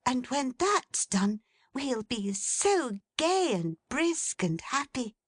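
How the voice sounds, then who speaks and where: A woman voicing Meg, a caring young woman, in a high, gentle, coaxing voice. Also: big pitch swings, slow pace, no room sound, full character voice. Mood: tender.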